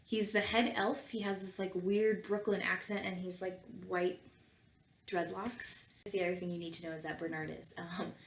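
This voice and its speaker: A woman's voice: fairly low pitch, little pitch movement, normal speed. A woman talking casually and reading aloud as a comedic host, with wry conversational asides. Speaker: video host and fan fiction reader. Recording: roomy echo with faint background noise.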